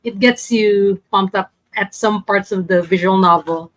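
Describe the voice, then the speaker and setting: A woman's voice: medium pitch, a little slow, fairly bright tone, dry recording, poor audio. A woman casually presenting as a podcast panelist, a little hesitant as she searches for her words. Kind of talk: casual presentation in a group chat.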